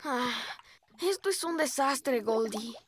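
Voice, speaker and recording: A woman's voice: high voice, lively intonation, slow. A woman voicing an earnest, slightly grumpy young boy turtle in a children's cartoon dub, speaking Latin American Spanish. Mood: glum. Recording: loud children's music behind.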